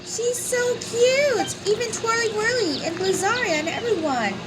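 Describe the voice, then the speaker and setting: High-voiced, lively woman streaming a game. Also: moderate pace, heavy background noise. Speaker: gameplay streamer. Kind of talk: casual playthrough commentary, reacting to game dialogue. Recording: loud game music behind the voice.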